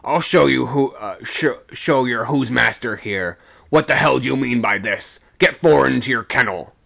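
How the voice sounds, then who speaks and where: A man playing a bullying, furious first mate on a sailing ship, shouting threats. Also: mid-range voice, moderate pace, creaky, full character voice. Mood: furious threatening.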